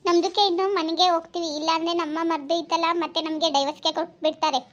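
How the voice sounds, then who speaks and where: A woman's voice: very high voice, level tone, very fast, highs cut off. A woman playing a blunt, cheeky neighbour woman who mixes Hindi words into broken Kannada.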